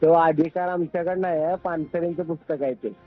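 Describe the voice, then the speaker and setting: A man's voice: on the high side, fairly even intonation, brisk. A man with a Maharashtrian accent arguing on the phone in a firm, restrained, repetitive way. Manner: firm, restrained, repeats himself. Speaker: book publisher. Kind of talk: phone argument. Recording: tinny phone call recording over music. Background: faint music.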